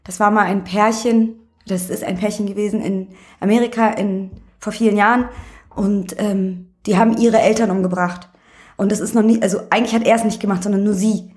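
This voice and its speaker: Candid woman chatting in a medium-pitched voice. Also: fairly even intonation, a little fast, darkish tone. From Berlin, Germany. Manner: colloquial, candid. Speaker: interview guest, music promoter. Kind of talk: casual chat, personal storytelling.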